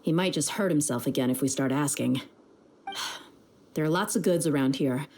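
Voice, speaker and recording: A woman's voice: deep voice, normal speed, a little mellow, slight background noise. A woman voicing a tough, confident young female mercenary with a blunt, streetwise manner in a video game. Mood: calm, businesslike. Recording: music behind the voice. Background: game music.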